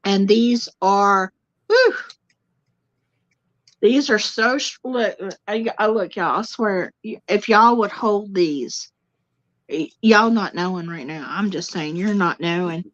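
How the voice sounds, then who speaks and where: A woman's voice: mid-range voice, slow, no room sound. A woman guest in a casual spiritual chat, talking animatedly, repeating words for emphasis and speaking straight to the viewers. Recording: dull lo-fi sound, dry.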